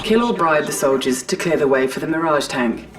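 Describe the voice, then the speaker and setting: A woman acting as a composed military mission announcer in a video game, formal, measured and impersonal. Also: low voice, lively intonation, far from the mic, faint music behind. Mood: crisp alert. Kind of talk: scripted game mission briefing.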